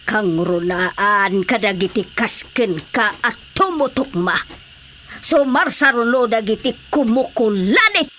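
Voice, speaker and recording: A woman's voice: on the high side, expressive, slow, sharp tone, a little hiss or hum, full character voice. An actress playing a stern old grandmother frog who passes solemn judgement in a Filipino radio drama. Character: stern old grandmother of all frogs, mystical forest judge.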